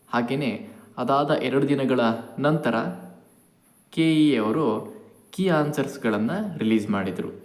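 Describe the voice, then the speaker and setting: A man's voice: medium pitch, darkish tone. A man giving an informal update to viewers as a channel host, explaining step by step and mixing in English words. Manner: explanatory, repeats key points, mixes in English words. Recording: echoey room.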